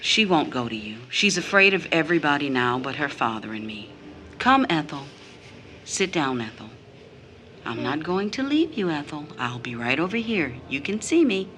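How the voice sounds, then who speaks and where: A woman's voice: deep voice, sing-song, moderate pace, a bit bright, slight background noise. An actress playing a tired, numb, poor mother, wary and protective, with blunt questioning, in an audio drama. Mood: protective, coaxing. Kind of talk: scripted audio drama acting.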